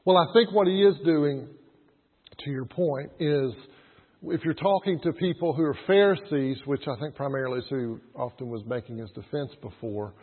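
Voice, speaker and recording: Husky man leading a Bible class. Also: a little high. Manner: prompting questions, halting restarts. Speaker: Bible study teacher. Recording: tinny lo-fi sound with hall reverb.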